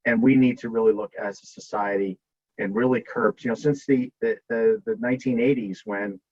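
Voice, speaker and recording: A man speaking off the cuff as a state representative on a panel, halting and often repeating and rephrasing himself. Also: fairly low pitch, fairly even intonation, a little mellow, breathy edge, no room sound, lo-fi sound. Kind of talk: unscripted panel remarks.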